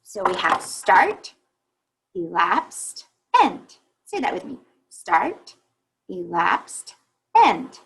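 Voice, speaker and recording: A woman reading a children's picture book aloud like a teacher, pausing to think aloud and explain. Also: on the low side, sing-song, vocal fry, fairly bright tone, breathy edge.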